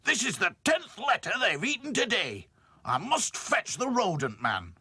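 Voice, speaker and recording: A man voicing a blustering, grumpy middle-aged male factory boss in a cartoon, with a raspy manner. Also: a little high, wildly swooping pitch, moderate pace, fairly bright tone, dry recording, pitched above the performer's own voice, full character voice. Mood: irate.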